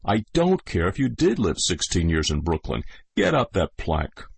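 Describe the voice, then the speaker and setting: A man voicing a gruff, growling military guard in a read-aloud story. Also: deep voice, huge pitch swings, moderate pace, no room sound, exaggerated voice. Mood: harsh. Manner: growling, bossy. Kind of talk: audiobook reading.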